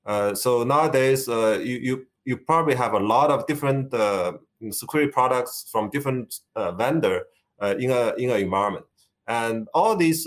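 A man in his fifties from China with a Chinese accent, a tech executive answering interview questions with many fillers and restarts. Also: mid-range voice. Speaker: guest, tech company executive. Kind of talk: conversational interview.

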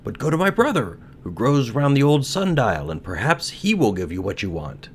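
Man acting a grand, high-voiced talking rose tree. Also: sing-song, normal speed, slight background noise. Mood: lofty. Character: proud, solemn old rose tree, grave and foreboding.

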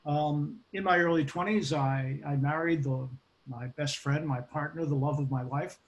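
A man in his sixties with a Boston accent, a guest recalling his life in a relaxed interview, talking in a measured way with frequent ums and restarts. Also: a little high, muffled recording. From Boston, United States. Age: 60s. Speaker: guest, occupational safety expert. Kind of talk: casual interview.

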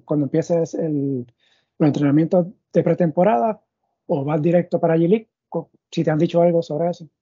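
A man hosts a sports interview, circling his questions with fillers and restarts. His voice is very dark and husky. The recording is dry and a little muffled. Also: on the high side, no echo. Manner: thinks aloud with many fillers and restarts. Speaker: podcast host. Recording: dull, muffled audio.

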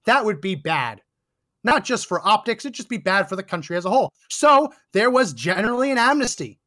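Hoarse, high-voiced indignant male host. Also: pushed and bright. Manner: emphatic, indignant. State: hoarse, losing his voice. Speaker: podcast host. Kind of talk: political commentary monologue. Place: Texas.

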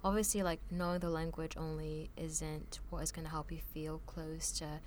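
A woman's voice: low-pitched, level tone, normal speed, slight background noise. A young woman from France, a podcast guest, telling stories in casual conversation with many filler words. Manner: chatty, lots of "like" fillers.

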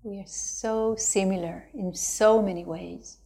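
A woman teaching a guided meditation, speaking gently and unhurriedly with long pauses between phrases. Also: mid-range voice, fairly even intonation, gravelly.

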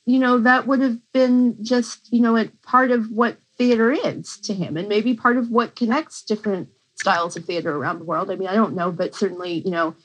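A woman's voice: mid-range voice, fairly even intonation, moderate pace, hardly any pauses, slightly rough, poor audio. A woman professor giving a conversational academic explanation as an interview guest, with informal asides.

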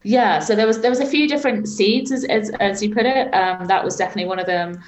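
A woman's voice: mid-range voice, quick pace. A woman in her late thirties with an English accent, answering conversationally as an interview guest. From Suffolk, England. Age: late 30s. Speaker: interview guest, magazine editor. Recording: roomy, echoey sound.

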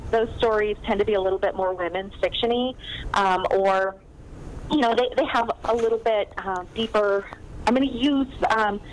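A woman's voice: medium pitch, fairly even intonation, normal speed, a little hiss or hum, low-quality recording. A woman novelist chatting as a podcast guest, enthusiastic and talkative, with frequent ums and easy laughter. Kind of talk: casual podcast interview chat.

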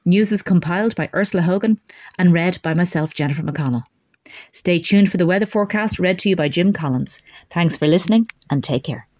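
A woman's voice: fairly low pitch, a little fast, darkish tone. A woman with an Irish accent reading scripted local news as a community radio newsreader.

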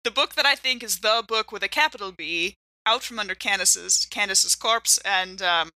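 A woman's voice: medium pitch, moderate pace, bright, forceful tone, no echo, poor audio. A woman playing in an improvised tabletop role-playing show, conversational and thinking aloud. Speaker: player in a role-playing game. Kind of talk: casual improvised tabletop actual play.

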